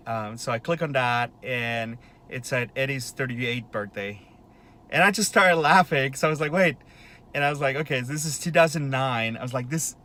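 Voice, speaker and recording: A man's voice: medium pitch, pushed and bright, slight background noise. A man in his late thirties talking in a casual, rambling monologue, with lots of fillers and restarts. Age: 30s. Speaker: vlogger.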